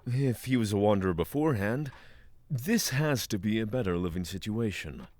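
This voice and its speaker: A man's voice: lowish voice, animated, normal speed, darkish tone, dry recording, close to an everyday voice. A man playing a courteous, skeptical spiritual traveller in a fantasy audio drama, with formal, dryly inquisitive phrasing. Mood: questioning.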